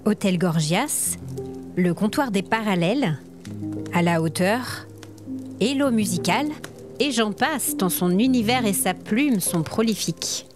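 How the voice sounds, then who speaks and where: A woman's voice: medium pitch, darkish tone. A woman hosting a podcast, presenting and interviewing in a conversational style.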